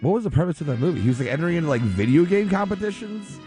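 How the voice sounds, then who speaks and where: A man hosting a radio show with casual, off-the-cuff chat, rambling, halting and repeating himself. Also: a little high, lively intonation, warm tone, faint music behind.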